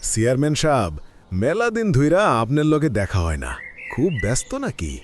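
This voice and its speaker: Cartoon village neighbour, male actor: plain-spoken, politely pushy, rural Bangladeshi accent, lively pitch, faint noise and birds. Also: fairly quick. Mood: politely prodding. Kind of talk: cartoon voice acting.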